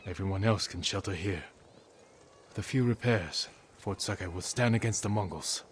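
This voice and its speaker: An actor playing a resolute samurai in a video game, speaking in a grave, terse, commanding way. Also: male voice, deep voice, moderate pace, a little hiss or hum, lightly acted. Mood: calm resolve. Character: stern, resolute male samurai hero. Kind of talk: video game drama acting.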